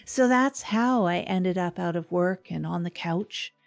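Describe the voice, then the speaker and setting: A woman's voice: lowish voice, measured pace, creaky, soft background music. A woman playing a self-deprecating radio host who rambles wryly through a scripted comedic confession. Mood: exasperated, wry. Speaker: fictional radio host and narrator.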